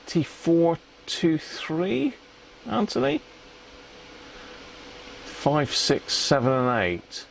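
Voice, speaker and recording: A man's voice: fairly high pitch, sing-song, extremely slow, vocal fry, pauses often. British man muttering over noisy background. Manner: muttering to himself, tag questions. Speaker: live stream host repairing radios. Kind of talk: casual live bench commentary.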